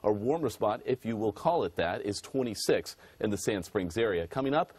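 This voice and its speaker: A man's voice: fairly low pitch, animated, moderate pace, a little mellow, breathy edge, no echo. A man delivering a TV weather forecast in a conversational, easygoing way. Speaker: meteorologist.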